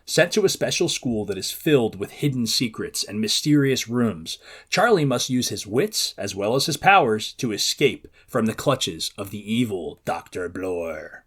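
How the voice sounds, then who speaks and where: A man's voice: on the low side, expressive, moderate pace, a bit bright, slightly rough. A man presenting a book preview for young readers, reading aloud expressively and drawing out words for dramatic emphasis. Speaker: host of a children's book preview show.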